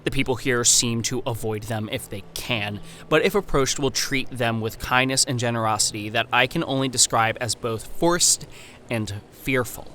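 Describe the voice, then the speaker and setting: Casting: male actor as wary young 1930s anthropologist, formal and precise, sharp forward tone, horror podcast letters, noisy backdrop. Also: medium pitch, close to an everyday voice. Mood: intent, troubled. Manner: formal, precise, measured diction. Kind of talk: scripted horror audio drama, letter narration.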